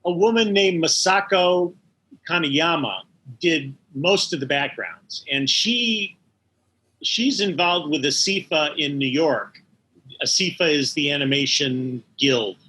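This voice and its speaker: Male animator guest, bright forward voice on the higher side, measured recollections, slight background noise. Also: poor audio. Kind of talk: reflective interview conversation.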